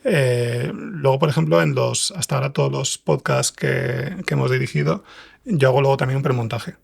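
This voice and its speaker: A man's voice: medium pitch, moderate pace, vocal fry, husky. A man with a Spanish accent from Spain, talking casually as an interview guest about his creative work. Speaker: podcast writer and director, interview guest. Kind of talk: casual conversation.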